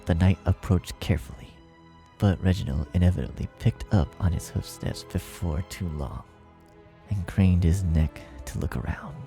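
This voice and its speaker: A man's voice: deep voice, normal speed, music in the background. A man reading a fan fiction story aloud as its narrator in a scripted style.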